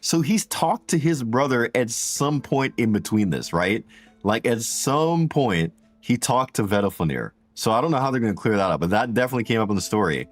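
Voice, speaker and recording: A man with a deep voice streaming a game, chatting casually and rambling to viewers with slang. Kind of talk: casual gameplay livestream chat. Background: game music.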